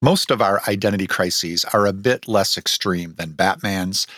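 A man's voice: low voice, normal speed, sharp tone, dry recording, clean studio sound. A man hosting a podcast, discussing films and reading scripted narration. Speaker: podcast host.

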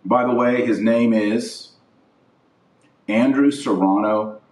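A man hosting an opinionated religious podcast, recorded in an echoey room a little way off the mic. He speaks slowly and indignantly, drawing out key words with preachy emphasis. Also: medium pitch, a little mellow, distant mic. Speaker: podcast host and religious commentator. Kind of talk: opinionated religious commentary to camera or podcast audience. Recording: roomy echo, voice off the mic.

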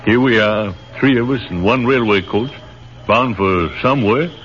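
An actor playing a friendly, modest American infantry captain with an American accent, speaking in an easygoing, folksy way, in a 1940s radio drama. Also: male voice, medium pitch, moderate pace, a bit bright, natural voice. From Chicago, USA. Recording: dull old radio broadcast recording with faint hiss.

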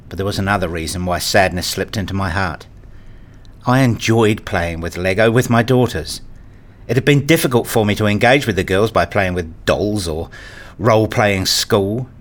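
Man playing a wistful, low-voiced father narrator. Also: a bit bright. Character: wistful, fussy married father and toy enthusiast, narrating. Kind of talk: scripted first-person radio play narration.

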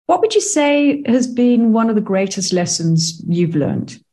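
A woman's voice: fairly high pitch, animated, unhurried, mellow. A woman hosting a radio interview show, encouraging her guest and closing the show with a polished sign-off.

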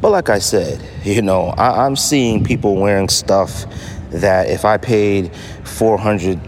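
A man's voice: on the low side, takes it slowly, a little hiss or hum. A man hosting a casual solo podcast, talking emphatically and repeating phrases to drive them home. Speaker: podcast host.

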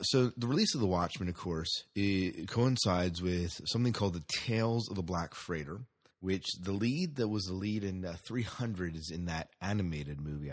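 Low, husky-voiced American male podcast host. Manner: informal, hesitant, self-correcting. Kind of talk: casual sci-fi news and movie segment talk.

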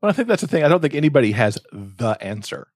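A man's voice: mid-range voice, big pitch swings, fast talker, no room sound, clean studio sound. A man chatting casually as a podcast co-host, speaking in a reflective, earnest way.

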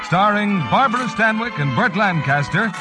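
A man's voice: sits high, lively intonation, normal speed. A man announcing a sponsored radio theater show in grand 1950s broadcast style. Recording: old radio broadcast, loud music.

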